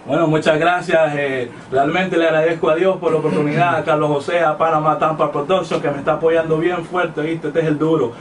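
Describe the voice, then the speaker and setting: A man's voice: a little high, steady tone, brisk, few pauses, slight background noise. A man from Honduras, a music artist talking casually in a radio interview, hesitant with many fillers and restarts. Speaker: reggaeton artist guest. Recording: distant mic with music playing behind.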